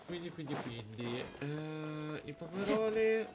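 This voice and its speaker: A man's voice: a little high, big pitch swings, measured pace. A man with an Italian accent doing casual live gameplay commentary, thinking aloud. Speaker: game streamer. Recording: loud game music behind the voice. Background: loud game music.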